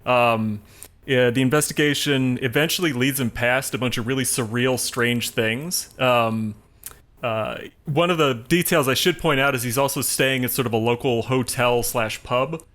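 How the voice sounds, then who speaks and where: A man co-hosting a casual film discussion, explaining things in a halting, rambling way. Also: mid-range voice, a bit bright. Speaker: podcast co-host giving a film rundown.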